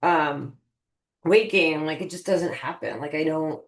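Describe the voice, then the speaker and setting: A woman's voice: low-pitched, measured pace. A woman in her fifties, a guest on a podcast, chatting casually and telling stories with lots of restarts and acted-out quotes. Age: 50s. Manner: animated storyteller, many restarts and acted quotes. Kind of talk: casual interview chat. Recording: roomy echo.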